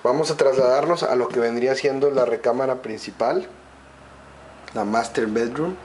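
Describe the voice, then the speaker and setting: A man, at an ordinary middle pitch, talks slowly through an empty indoor home and lists each feature in set phrases. His voice is picked up by a handheld camera mic. Faint noise sits behind it. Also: a little mellow. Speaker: home sales guide. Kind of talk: walkthrough narration.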